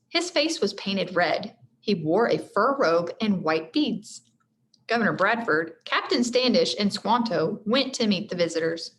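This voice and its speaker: A woman reading a children's storybook aloud like a teacher, expressive, speaking directly to her listeners. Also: mid-range voice. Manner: expressive, addresses listeners directly, stumbles over hard names. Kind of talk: read-aloud storybook narration with friendly asides.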